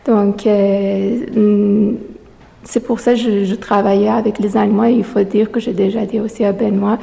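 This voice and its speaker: Non-native woman artist, hesitant and halting, gravelly low-bright tone, gallery reverb. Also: flat delivery. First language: not French. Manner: hesitant, many fillers and restarts. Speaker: exhibiting artist. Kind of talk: interview answers. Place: art gallery. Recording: long reverb of a gallery room.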